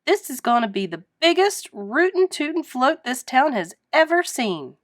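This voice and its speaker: Woman playing female squirrel, bold, folksy drawl, cartoon storybook, animated intonation. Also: high-pitched, normal speed, no room sound, studio quality, voice pushed up. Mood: proud. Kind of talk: children's storybook character voice.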